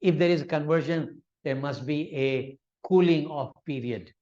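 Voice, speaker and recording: A man's voice: on the high side, measured pace, warm tone, dull audio. A man whose first language is Urdu, lecturing on law in an explanatory way with examples and frequent fillers. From Malaysia. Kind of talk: academic lecture.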